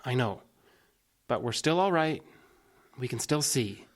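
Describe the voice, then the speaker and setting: Man playing a gentle, reasoning young friend, medium-pitched. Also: animated, measured pace. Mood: placating. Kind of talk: naturalistic audio drama acting.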